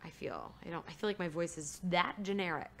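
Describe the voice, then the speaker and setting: A woman's voice: fairly low pitch, fairly quick. A woman voice actor talking candidly in a casual livestream chat, with lots of "like" fillers.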